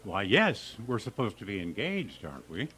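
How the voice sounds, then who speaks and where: A man's voice: lowish voice, huge pitch swings, moderate pace, sharp tone, breathy edge, heavily acted. A man playing a naive, earnest, easily flustered teacher with a pedantic streak in an old-time radio comedy. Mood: agreeable, earnest. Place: live stage performance at a radio history event.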